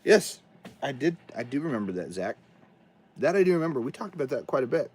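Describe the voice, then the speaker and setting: Casting: hoarse man, raspy from a cold, lively up-and-down delivery, casual host rambling from a home studio. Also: mid-range voice, fairly quick. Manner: rambling, chatty, talks to himself while setting up. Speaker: livestream host. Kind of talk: casual livestream chat.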